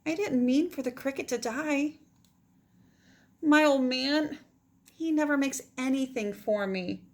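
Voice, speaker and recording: Woman doing a high-pitched lonely boy pirate. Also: a little slow. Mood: sorry, pleading. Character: young boy pirate, possessive, lonely, sorry new kid.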